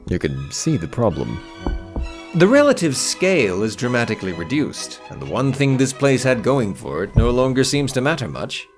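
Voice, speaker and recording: A man delivers scripted commentary as a video essayist. His pitch moves widely as he leans on words with theatrical, sardonic emphasis. Soft music plays behind him. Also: very animated, moderate pace.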